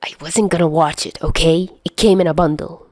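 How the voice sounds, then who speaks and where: Woman doing a gruff, defensive teenage boy, low. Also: fairly even intonation, brisk, darkish tone, dry recording, clean studio sound, close to an everyday voice. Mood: embarrassed, defensive. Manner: grumbling, curt.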